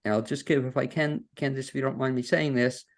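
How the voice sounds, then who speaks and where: Gravelly-voiced man hosting a podcast. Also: mid-range voice, fairly even intonation, quick pace. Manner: folksy, rambling with fillers and restarts. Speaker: podcast host. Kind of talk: casual interview hosting. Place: home studio. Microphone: video call.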